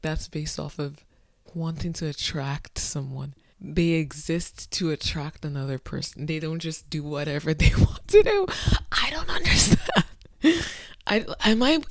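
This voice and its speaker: A woman talking to her camera late at night, incredulous and exasperated, swinging between soft muttering and sudden loud outbursts. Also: fairly low pitch, no echo. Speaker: vlogger. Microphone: phone or camera close up.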